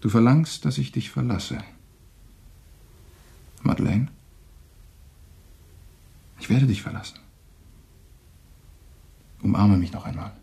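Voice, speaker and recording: An anguished, very raspy lovesick man. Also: mid-range voice, animated, slow, pauses often, warm tone, full character voice. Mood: anguished, despairing. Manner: anguished, melodramatic. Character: lovesick, bitter, self-sacrificing Frenchman, a devoted lover. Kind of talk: German radio drama acting.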